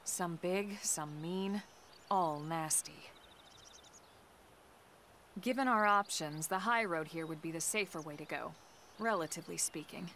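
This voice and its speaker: A woman's voice: lowish voice, a little slow, pauses often, fairly bright tone, a little hiss or hum. An actress playing a cool, confident young female soldier in a video game, speaking in a composed, conversational way. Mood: calm, guiding. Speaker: video game character voice actor. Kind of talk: scripted video game cutscene acting. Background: background music.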